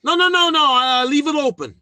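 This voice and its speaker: A man's voice: very high-pitched, fairly quick, sharp tone, no echo, studio quality, heavily acted. An actor playing a blustering, eager family man in an old-time radio comedy, with a flustered, bumbling way of talking. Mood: excited, bossy.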